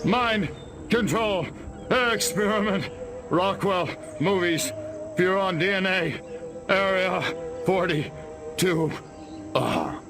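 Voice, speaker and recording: A man's voice: high voice, lively intonation, slow, pauses often, music in the background, heavily acted. A man acting a government agent being interrogated in a video game. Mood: dazed. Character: defiant American government agent, male, under interrogation.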